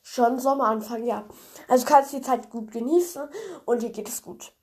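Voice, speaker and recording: A woman's voice: on the high side, fairly even intonation, normal speed, a little mellow. A young woman hosting a podcast, chatty and rambling with many fillers, her voice nasal and congested from a cold as she retells a fairy tale. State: stuffy cold. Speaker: podcast host.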